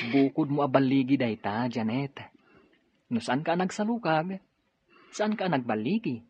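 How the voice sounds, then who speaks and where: A man's voice: mid-range voice, expressive, a little slow, long pauses, breathy edge. A young man with an Ilocano Filipino accent acting a grateful, good-natured eldest son in an audio drama. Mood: fond. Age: young adult. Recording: dull lo-fi audio with music.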